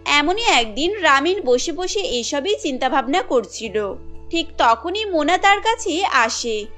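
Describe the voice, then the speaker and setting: A woman's voice: high-pitched, sing-song, slow, fairly bright tone, soft background music. A woman narrating a scripted cartoon story as its storyteller.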